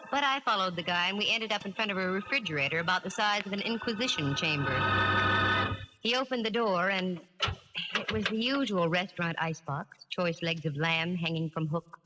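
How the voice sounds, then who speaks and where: An actress playing a wisecracking, tough American private eye, narrating in a wry, hard-boiled 1940s radio drama style. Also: female voice, fairly low pitch, lightly acted. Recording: 1940s radio recording, reverberant, music behind.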